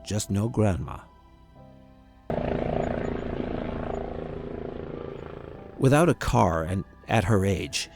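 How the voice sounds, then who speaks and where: A low-pitched man acting as a haunted grandson tells a first-person horror story. He speaks in a measured, dramatic way, leaving deliberate pauses. Music plays behind the narration. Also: a little mellow. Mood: regretful, haunted. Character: haunted grown grandson recounting a frightening visit.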